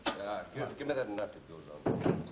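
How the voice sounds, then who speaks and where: An actor playing a brisk, obedient male gangster henchman in a 1940s film serial. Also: fairly low pitch, very animated, heavy background noise. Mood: businesslike. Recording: distant, echoey, muffled 1940s film soundtrack.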